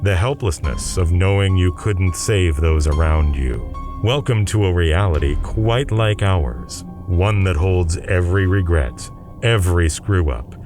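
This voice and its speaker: A man narrating a radio serial in a theatrical, ominous, suspense-building style. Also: low-pitched. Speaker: narrator and announcer. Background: music.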